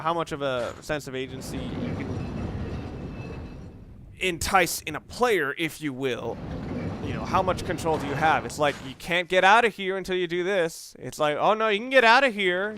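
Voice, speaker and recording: A man's voice: a little high, expressive, moderate pace, noisy background. A man giving casual let's play commentary in a rambling, conversational way, often correcting himself as he goes. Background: game music.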